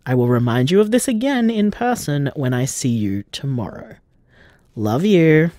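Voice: mid-range voice, sing-song, moderate pace, mellow, dry recording, studio quality, close to an everyday voice. An actor voicing an affectionate young man who leaves his partner teasing, doting reminders by voicemail in an audio drama.

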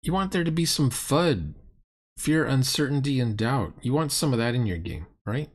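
A man's voice: mid-range voice, lively intonation, normal speed. A middle-aged man giving casual, sardonic and critical commentary on a roleplaying game.

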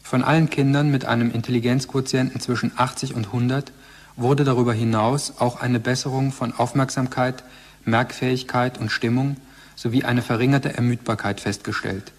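A man's voice: medium pitch, fairly even intonation, moderate pace. A man reading scripted narration in a formal style. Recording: long reverberant hall.

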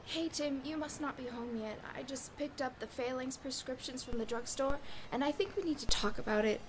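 Actress as an anxious, high-voiced wife. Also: female voice, fairly quick, slight background noise, lightly acted. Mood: mildly annoyed. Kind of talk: audio drama acting.

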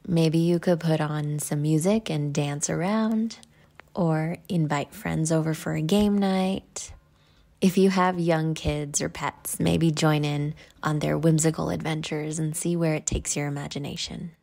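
Woman, low pitch, meditation guide, measured instructional delivery. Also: steady tone, creaky, warm tone.

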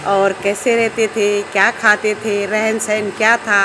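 A woman's voice: medium pitch, level tone, measured pace, noisy background. A middle-aged woman from Garhwal, fondly pointing out and explaining old objects in a small cafe. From Garhwal, Uttarakhand. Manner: fond, explanatory, lists objects. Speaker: family member, mother. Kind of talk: casual show-and-tell. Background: music.

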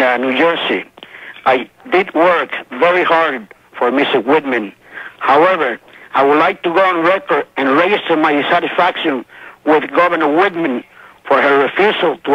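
A man's voice: a little high, normal speed, fairly bright tone, no echo. A man from Cuba with a Cuban Spanish accent, calling into a radio show to speak formally and earnestly. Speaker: caller. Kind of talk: phone-in call. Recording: muffled phone line on AM radio.

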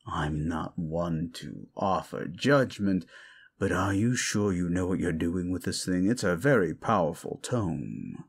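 A man playing a condescending, sardonic ancient demon in an audio roleplay, gravelly, with theatrical, mocking delivery. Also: low-pitched, animated, unhurried, exaggerated voice. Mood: bemused, exasperated. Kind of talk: audio roleplay monologue addressed to the listener.

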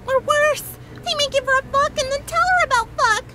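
A woman voicing a bubbly, oblivious young female cartoon pony, excitable and chirpy. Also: very high voice, fairly quick, noisy background, pitched above the performer's own voice. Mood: cheerful, oblivious.

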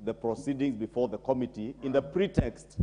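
A man's voice: medium pitch, fairly even intonation, fairly quick, dark tone, big-room sound. A middle-aged Kenyan man, a member of parliament, making a combative, accusing speech in debate. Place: large parliament chamber. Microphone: desk microphone.

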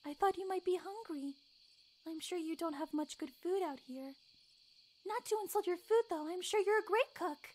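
A woman's voice: very high-pitched, moderate pace, a little mellow. A woman voicing a shy, kind, curious young pony in a cartoon, hesitant and sweet. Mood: eager, kind. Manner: timid, hesitant, apologetic, sweet. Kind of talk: animated fan drama acting. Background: background music.

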